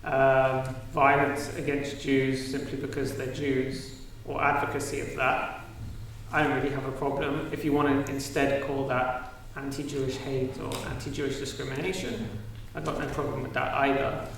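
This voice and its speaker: Panelist, monotone and careful, recorded from afar in a big reverberant hall. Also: male voice, mid-range voice, moderate pace, vocal fry. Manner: careful, hedged phrasing with fillers. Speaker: panel speaker. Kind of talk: debate panel answer. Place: large university auditorium. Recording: echoey hall, far from the mic, faint hiss.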